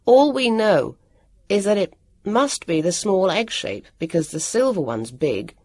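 A woman's voice: on the low side, steady tone, a little slow, vocal fry, no room sound, studio quality, pitched above the performer's own voice. A woman voicing an earnest, self-dramatising boy who speaks precisely and emphatically in a storybook reading. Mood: reasoning.

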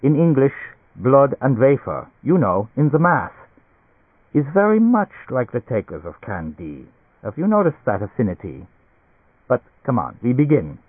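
A man voicing an excitable, fussily reassuring German doctor in an audiobook story, effusive, with broken English sprinkled with German words. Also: mid-range voice, normal speed, breathy edge, no room sound, voice pushed up. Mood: beaming, enthusiastic. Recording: tinny band-limited radio-like sound.

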